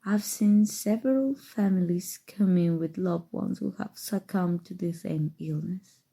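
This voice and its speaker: A woman playing a calm, seductive, obsessive evil sorcerer in an intimate, coaxing ASMR roleplay, with dramatic pauses. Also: on the low side, vocal fry, slightly rough, heavily acted. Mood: grave, measured. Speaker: voice actor. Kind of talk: ASMR roleplay, scripted acting.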